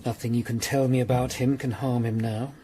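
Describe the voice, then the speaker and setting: An actor playing a courteous, measured Tudor lawyer-detective, calmly questioning witnesses in a period radio drama. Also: male voice, mid-range voice, slight background noise, close to an everyday voice. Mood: gentle, probing. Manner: calm, polite, probing. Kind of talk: period audio drama acting.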